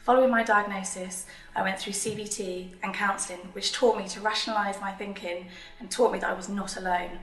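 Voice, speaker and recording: A woman's voice: medium pitch, steady tone, fairly quick, hardly any pauses, fairly bright tone, faint music behind. Brief: woman, 30s, composed, set back from mic in a roomy space. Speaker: employee sharing her story. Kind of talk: scripted testimonial. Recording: distant roomy video recording.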